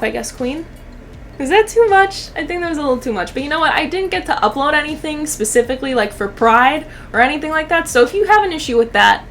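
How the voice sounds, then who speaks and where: A young woman with a high voice delivers casual, comedic reaction commentary. She is sarcastic and sweary, with expressive delivery over clearly audible background noise. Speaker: host of a video commentary channel.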